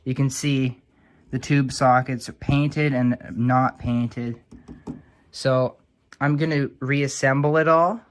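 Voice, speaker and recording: A man's voice: medium pitch, fairly even intonation, slow. A man giving casual, unscripted commentary on his own hands-on repair, offhand and thinking aloud as he works. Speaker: hobbyist restorer presenting his own repair video.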